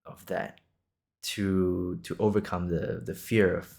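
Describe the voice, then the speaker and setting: A man's voice: low-pitched, fairly even intonation, takes it slowly, gravelly, darkish tone. A man in his twenties from Singapore hosting an improvised podcast, talking casually in a reassuring, encouraging, conversational way. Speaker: podcast host.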